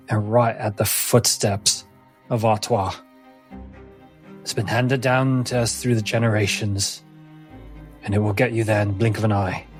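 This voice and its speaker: A man's voice: mid-range voice, lightly acted. A man playing an earnest, wary sanctuary man who talks informally and pleads for secrecy.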